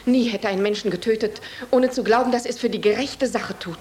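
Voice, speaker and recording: An actress playing a grieving, unyielding widow in a radio play, with a stern, declamatory delivery. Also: female voice, on the high side, a little fast, darkish tone, natural voice. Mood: righteous anger. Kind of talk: theatrical radio drama acting.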